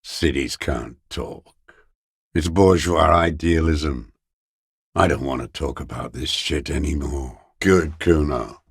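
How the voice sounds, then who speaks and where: An actor playing a bitter old communist deserter in a video game, scornful and unrepentant, with a gravelly voice and a contemptuous, sneering manner. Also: male voice, rumbling low, slow, no room sound, studio quality, full character voice. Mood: bitter, dismissive. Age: older. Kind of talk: dramatic video game character dialogue.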